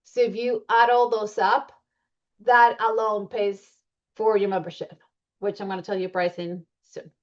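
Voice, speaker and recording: A woman's voice: mid-range voice, level tone, unhurried, lo-fi sound. Chatty Colombian-accented woman presenting. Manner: chatty, many fillers and restarts. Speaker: membership presenter. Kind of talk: presentation walking through slides.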